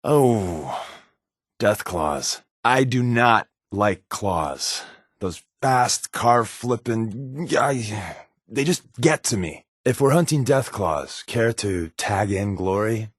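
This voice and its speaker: A man's voice: fairly low pitch, expressive, slow, slightly rough, dry recording, clean studio sound, exaggerated voice. An actor playing a wisecracking male spy companion in a post-apocalyptic video game, with a sardonic, dryly quipping manner. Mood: exasperated.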